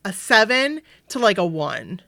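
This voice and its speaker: A woman's voice: medium pitch, very animated, a little slow, creaky, sharp tone, dry recording. Podcast co-host, woman, sniffly, rambling chatty delivery, dry close mic. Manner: chatty, anxious storyteller, lots of "like" fillers. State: sniffly, slightly congested. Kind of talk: casual chat. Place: indoor room, downstairs, air-conditioned. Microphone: studio microphone.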